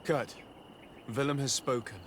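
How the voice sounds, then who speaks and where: A man plays a composed male adventurer and diplomat in a video game. His middle-pitched voice is measured, polite and earnest, with faint noise behind it. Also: steady tone, natural voice. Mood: grave. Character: calm, diplomatic male adventurer investigating abuses. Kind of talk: video game cutscene acting.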